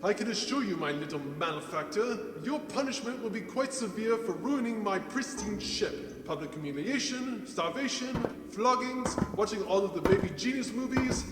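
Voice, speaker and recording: A man's voice: high voice, animated, normal speed, few pauses, noisy background. A man playing a pompous, vain, vengeful archduke in a comic space-opera audio drama, with florid, sneering theatrical delivery. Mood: cold threatening.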